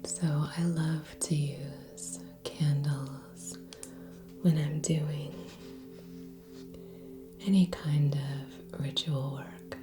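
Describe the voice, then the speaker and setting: A woman speaking soft, breathy ASMR in a near-whisper, gently hosting with long pauses between short phrases. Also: sits low, fairly even intonation. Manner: slow, gentle, hushed delivery with long pauses. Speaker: ASMR channel host. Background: relaxing music with singing bowl tones.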